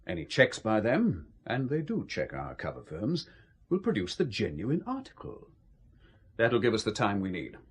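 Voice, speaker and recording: A man acting a condescending British spymaster in a spy radio play. He is emphatic and patronising, with a husky edge and very dramatic swings in pitch. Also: wildly swooping pitch, moderate pace, exaggerated voice. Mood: condescending, menacing.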